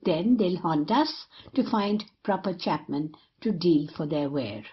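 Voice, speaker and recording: Low-voiced scheming young rake played by a woman. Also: animated, a little slow, dull audio, a little hiss or hum. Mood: cynical. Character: cynical, scheming young male rake in Restoration comedy. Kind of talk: period stage comedy acting.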